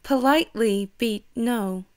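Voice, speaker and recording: An actress playing a composed, polite and resolute young gentlewoman in a period audio drama. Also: a little high, slow pace, smooth. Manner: polite, poised, firm.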